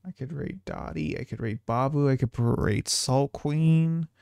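A man's voice: medium pitch, a little slow, gravelly, darkish tone, smooth, no echo, studio quality. A man chatting casually to viewers as a game streamer, rambling along and swearing now and then. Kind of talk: casual livestream chat to viewers.

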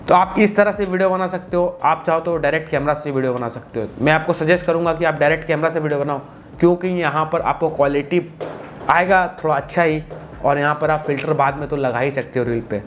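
Casting: young man, slightly high voice, informal tutorial host, faint background noise. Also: quick pace. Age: 20s. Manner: chatty, informal, mixes in English tech words. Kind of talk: casual step-by-step tutorial to camera. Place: small indoor room with computer setup. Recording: clearly noisy room recording.

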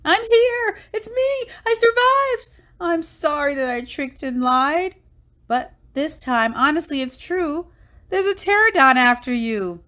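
A woman's voice: high-pitched, expressive, measured pace, pushed and bright, pitched above the performer's own voice, exaggerated voice. A woman voicing a boastful young boy dinosaur trickster in a storybook, with theatrical, exclaiming delivery. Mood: pleading, excited.

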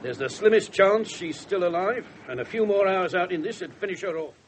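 An actor with an English accent playing a gruff, teasing middle-aged police inspector in a radio drama. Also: male voice, high voice, fairly quick, close to an everyday voice. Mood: brisk. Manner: brusque, sardonic, informal. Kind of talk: 1970s British radio drama acting. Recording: noisy 1970s radio broadcast recording.

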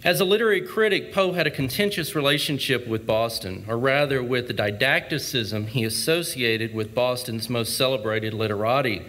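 A man delivering a formal prepared speech at an outdoor ceremony in a measured, erudite manner. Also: mid-range voice, a bit bright. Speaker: literary society speaker.

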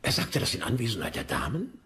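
Brisk, animated English lord. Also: male voice, mid-range voice, slight background noise, exaggerated voice. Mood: brisk, reassuring. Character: brisk, commanding English lord, reassuring superior. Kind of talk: dubbed period docudrama acting.

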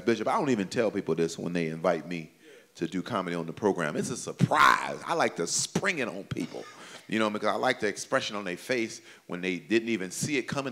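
A man in his forties from doing stand-up comedy at a church celebration, swinging between loud high exclamations and low confiding asides. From Chicago, USA. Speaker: comedian pastor. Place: banquet hall at a church event. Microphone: handheld microphone. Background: audience laughter.

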